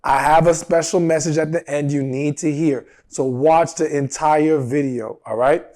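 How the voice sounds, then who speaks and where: A man with a fairly high-pitched voice talks straight to his audience like a coach, earnest and emphatic. His voice carries a slight roughness from a cold he is getting over. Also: steady tone, moderate pace, a little mellow. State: recovering from a cold. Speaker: dating advice host. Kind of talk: direct-to-camera advice talk.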